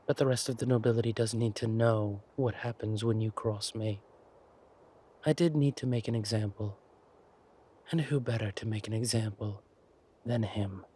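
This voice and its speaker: A man acting a menacing, silkily mocking villain king in an audio roleplay, taunting with deliberate words and drawn-out pauses. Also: lowish voice, fairly even intonation, dark tone, breathy edge. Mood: smug, affectionate.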